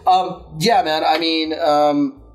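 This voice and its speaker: A man's voice: a little high, fairly even intonation, slow pace. A man hosting a podcast, chatting casually and swearing freely in a rambling, informal way. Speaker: podcast host.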